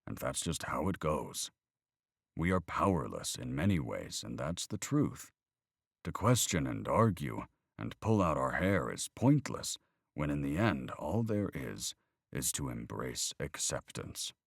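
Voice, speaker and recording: A man reading an audiobook, voicing a weary older man who talks in a measured, reflective way. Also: extremely low pitch, sing-song, creaky, no room sound, studio quality, exaggerated voice. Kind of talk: audiobook character voice.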